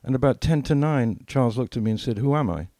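A man's voice: mid-range voice, expressive, fast, dark tone, dry recording, studio quality. An older man telling anecdotes casually as a podcast co-host, acting out bits of dialogue as he reminisces.

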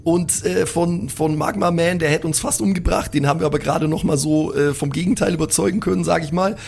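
A brash young hero, played by a man with a gravelly, slightly high voice. He talks in loose street slang as a tabletop-game buddy, with music behind him. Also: fairly even intonation, fairly quick, darkish tone. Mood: apologetic, defensive. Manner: slangy, casual, street talk.

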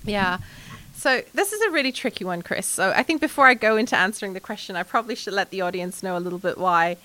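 A woman from Hong Kong, a sustainability executive answering interview questions in a lively way, with frequent fillers and light laughs. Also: medium pitch, fast, rough recording. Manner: animated, laughs often, many fillers.

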